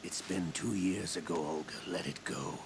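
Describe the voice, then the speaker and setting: A man's voice: sits low, moderate pace, noisy background. An actor playing a scheming older male mercenary who talks in a cold, threatening way, in a video game cutscene. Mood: menacing. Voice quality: gravelly. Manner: sneering, threatening. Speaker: scheming veteran officer.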